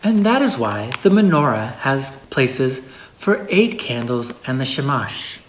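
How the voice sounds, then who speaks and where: A person reading a holiday story aloud in a measured storybook way with expressive pauses. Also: mid-range voice. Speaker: story reader. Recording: echoey room.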